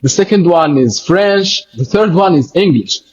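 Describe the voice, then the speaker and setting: A man's voice: a little high, lively intonation, measured pace, breathy edge, dull audio. A man whose first language is Arabic, speaking English with an Arabic accent as a podcast host, hesitant and searching for words. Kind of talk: casual interview chat. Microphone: video call.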